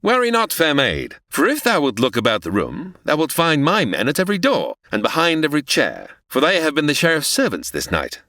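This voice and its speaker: A man acts a confident, swashbuckling outlaw in a medieval audio drama. The voice is crisp-edged and forceful, leaping around in pitch as archaic lines are delivered with theatrical swagger. The recording is dry. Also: huge pitch swings, fairly quick, few pauses, no echo, heavily acted. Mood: gallant. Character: bold, confident male outlaw hero of medieval England.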